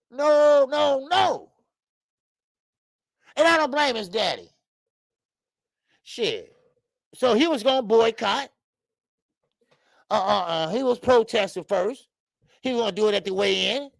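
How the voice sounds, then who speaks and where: A man in his fifties hosting a live boxing talk stream, loud and profane, rambling and greeting viewers by name. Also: very high voice, expressive, slow, vocal fry, long pauses, no room sound, studio quality. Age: 50s. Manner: frequent laughs and stretches of filler. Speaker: boxing show host. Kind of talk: live-stream commentary chat.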